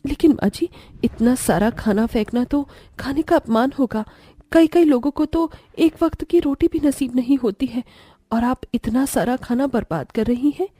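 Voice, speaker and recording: A woman playing a gentle, respectful, earnest young daughter-in-law from a poor family in a cartoon story. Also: sits high, moderate pace, slightly rough, no echo. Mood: earnest, pleading.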